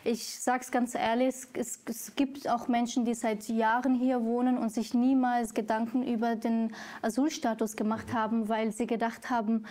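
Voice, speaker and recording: A woman from Syria, whose first language is Kurdish, answers slowly in a dark, mellow voice. Her pitch sits a little high but barely moves, and she often breaks off and starts her sentences again. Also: level tone. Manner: hesitant, restarts often, many fillers. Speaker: guest, author. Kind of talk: interview answers.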